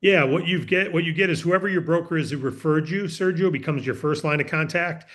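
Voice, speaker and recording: A man's voice: a little high, fairly quick, fairly bright tone. A man presenting and explaining in a friendly way, answering questions on a business video call. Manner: friendly, explanatory, talks in long runs.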